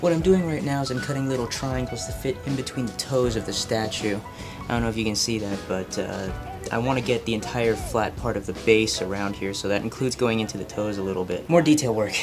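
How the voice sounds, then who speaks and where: A man's voice: lowish voice, brisk. Casual man narrating over music. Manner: informal, self-admittedly impatient. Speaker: craft vlogger.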